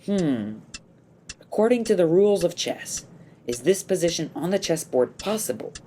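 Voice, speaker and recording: Woman playing an earnest, low-voiced young boy. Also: lively intonation, moderate pace, mellow, slight background noise, lightly acted. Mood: puzzled, pondering. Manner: thinks aloud, questioning, polite. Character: bright, modest, earnest orphan boy taking a test. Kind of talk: audio drama acting.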